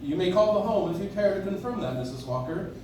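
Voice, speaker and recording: A genial, dignified old Santa figure played by a man in a radio play, with lively, swooping delivery. He sounds far from the microphone in a noisy, echoing room. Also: high-pitched, fast. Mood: calm, sincere. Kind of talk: live stage radio play acting. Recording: echoey noisy room, distant audience recording.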